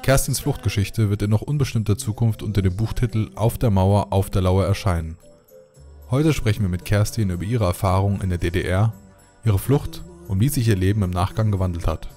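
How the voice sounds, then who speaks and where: A man co-hosting a live stream chat, who reads the guest introduction and then chats in a relaxed, friendly way over a headset. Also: on the low side, a little fast, faint music behind. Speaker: co-host. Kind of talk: scripted intro reading, then casual chat.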